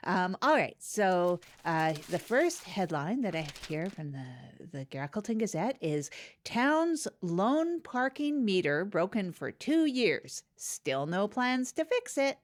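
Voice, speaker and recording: A woman playing an earnest, chatty small-town co-host of a local news show, speaking in a halting, literal way. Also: medium pitch, sing-song. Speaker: co-host of a comedy news podcast. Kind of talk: improvised mock local public-access news chat.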